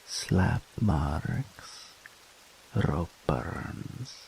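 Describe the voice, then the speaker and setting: Deep growly incubus demon guy, a man doing the voice, super slow, purrs and whispers, possessive and doting. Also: expressive, vocal fry, a little mellow, a little hiss or hum, heavily acted. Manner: slow, purring, frequently dropping to a whisper. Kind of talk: audio roleplay.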